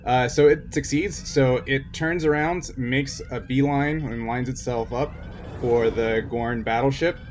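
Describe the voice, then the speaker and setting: A man's voice: mid-range voice, fairly even intonation, a little slow. A man running a tabletop roleplaying game among friends as game master, mixing casual rules talk with theatrical flourishes. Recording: clearly noisy background.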